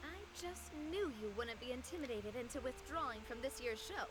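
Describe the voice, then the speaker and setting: An actress voicing a spirited, warm-hearted young woman who leads a group, lively and quick to indignation. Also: fairly high pitch, noisy background, close to an everyday voice. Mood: cheerful.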